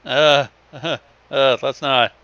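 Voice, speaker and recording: A man's voice: mid-range voice, fairly even intonation, slow pace, a bit bright, dry recording, lo-fi sound. A man giving casual, wry, sweary commentary as co-host of a story reading.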